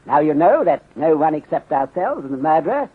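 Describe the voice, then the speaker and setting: A man's voice: fairly high pitch, big pitch swings, a little fast, slightly rough. A man playing a courteous, shrewd Chinese detective inspector in a 1930s radio mystery, speaking formally and measuredly in clipped phrases without articles. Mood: calm, methodical. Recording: muffled, band-limited 1930s radio recording.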